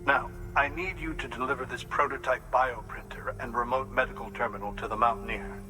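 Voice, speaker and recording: An actor playing a calm, precise doctor who explains medical procedures and reassures patients in a game cutscene. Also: male voice, mid-range voice, close to an everyday voice, low-quality recording. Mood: explaining. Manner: measured, explanatory, slightly fussy. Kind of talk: video game cutscene acting. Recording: score music in the background.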